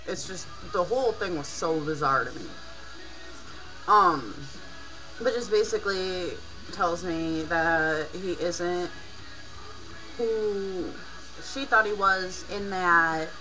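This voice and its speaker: Bright-voiced tired young woman chatting over music. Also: on the low side, rough recording. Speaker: video blogger. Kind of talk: casual personal storytelling. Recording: rock song playing in the background. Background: rock music playing behind the voice.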